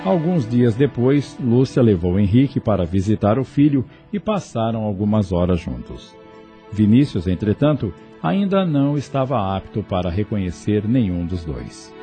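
A man's voice: fairly low pitch, big pitch swings, normal speed, music in the background. A man with a Brazilian accent narrating a scripted radio drama serial.

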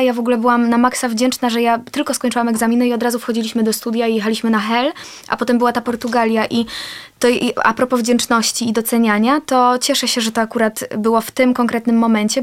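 A young woman, a singer and interview guest, chatting casually in a rambling way and laughing often. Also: on the high side. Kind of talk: casual conversation.

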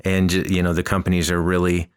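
A man's voice: sits low, monotone, fairly quick, clear, resonant tone. A man hosting a podcast, talking through ideas in a thoughtful, rambling way, often restarting his phrases. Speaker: podcast host. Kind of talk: casual conversational discussion.

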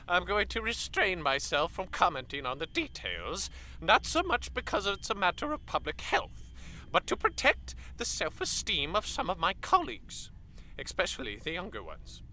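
A man's voice: high-pitched, wildly swooping pitch, normal speed, bright, forceful tone, heavily acted. An actor playing a condescending male professor giving a pompous explanation in a radio drama, over a noisy recording. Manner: pompous, sneering at colleagues. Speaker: scientist interviewed on air.

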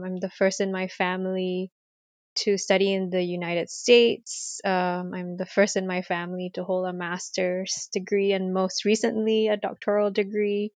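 A woman in her thirties from Malaysia, a university dean, reflectively telling her life story in an interview with many pauses and ums. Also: medium pitch, dry recording. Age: 30s. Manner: reflective, many ums, soft trailing phrases.

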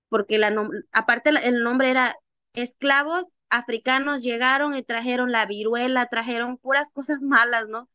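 A young adult woman from Mexico's Costa Chica, with a Costa Chica accent, telling her own story in an interview in an animated way. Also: a little high, clear, resonant tone, no room sound. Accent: Costa Chica Mexican Spanish. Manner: animated, acts out remembered dialogue. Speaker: interview guest, activist. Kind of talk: personal storytelling in conversation.

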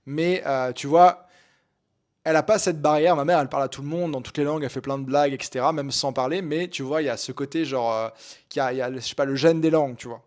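Relaxed man hosting a chat podcast. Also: fairly high pitch, fast talker. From France. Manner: relaxed, rambling, many fillers. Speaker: podcast host. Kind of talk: casual podcast conversation.

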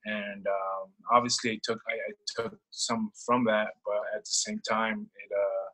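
A man's voice: fairly low pitch, little pitch movement, unhurried, sharp tone, low-quality recording. A young man from El Salvador talking casually and personally in conversation, searching for words and repeating himself as he goes. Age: young adult.

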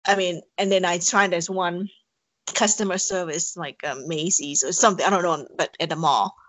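A woman's voice: on the low side, steady tone, normal speed, a bit bright, no room sound. A woman from Thailand, speaking English with a Thai accent, answering interview questions in a hesitant way with many fillers and restarts. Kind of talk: casual interview conversation.

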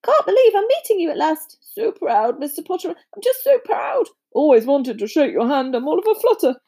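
Reader playing a high, gushing, flustered woman admirer. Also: very animated, moderate pace, warm tone. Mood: thrilled, flustered. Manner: gushing, fluttery exclamations. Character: excitable, adoring woman admirer, flustered and proud.

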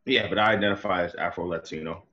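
Male rap artist guest; very deep, easygoing, slightly muffled. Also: fairly even intonation, quick pace, bright, forceful tone. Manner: laid-back, conversational, slang-heavy. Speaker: hip-hop artist guest. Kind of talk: casual interview chat.

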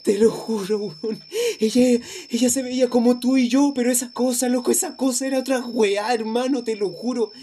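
A woman's voice: on the high side, fairly even intonation, normal speed, warm tone, slight background noise, natural voice. A woman with a Chilean accent acting an urgent, pleading, frightened young friend in a radio drama. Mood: urgent, scared. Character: frightened young friend who saw the devil.

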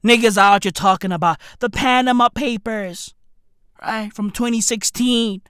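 A comedian from Africa, delivering an emphatic, repetitive rant to camera, heavy with swearing and dropping at times to a whisper. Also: male voice, sits high, normal speed, fairly bright tone, smooth, dry recording, studio quality. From South Africa. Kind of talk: ranting monologue to camera.

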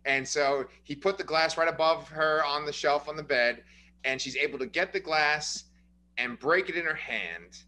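A man's voice: a little high, moderate pace. A man co-hosting a casual movie review podcast, telling scary scenes with lots of swearing and laughter. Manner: profane, laughing, animated storyteller. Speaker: podcast co-host.

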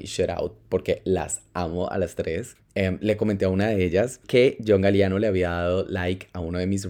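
Man, podcast host, very low voice, mellow tone, animated storyteller, native Spanish speaker, slips in English phrases. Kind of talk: casual solo podcast monologue.

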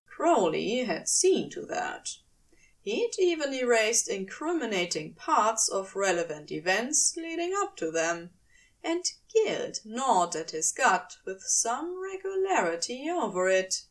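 A woman reading a story aloud as expressive scripted narration, dramatic and deliberate with pauses for emphasis. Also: on the high side, recorded from a distance. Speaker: fan fiction audiobook reader.

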